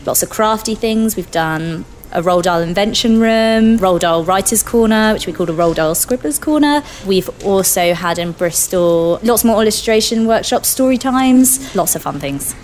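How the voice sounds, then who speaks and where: A woman talks casually as an interview guest, in an informal, effusive way. Her pitch sits in the middle range for a woman. There is some faint noise and ambience behind her voice. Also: lively intonation, moderate pace, few pauses, a little mellow. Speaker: interview guest, children's festival events coordinator.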